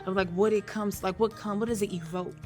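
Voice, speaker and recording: A woman's voice: medium pitch, steady tone, brisk, darkish tone, soft background music. An American woman co-hosting a show with casual, opinionated banter, emphatic and repeating phrases for effect. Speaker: radio show co-host.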